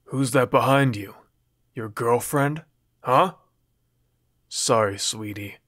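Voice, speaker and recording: A menacing gang boss, sneering and mocking, as the narrator puts him on. The voice is bright and forward, recorded dry and close. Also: male voice, mid-range voice, slow, no room sound. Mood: taunting.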